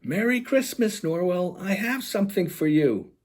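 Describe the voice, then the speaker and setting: A man plays a cheerful, eager female animal from a picture book, pitched up and husky. She speaks in a coaxing, exclaiming way, full of friendly enthusiasm. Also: animated, normal speed. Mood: excited, cheerful.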